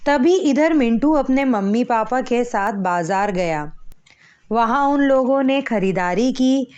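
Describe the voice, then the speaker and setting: Female storyteller for scripted children's stories. Measured pace, somewhat high pitch, lo-fi recording. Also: expressive, darkish tone.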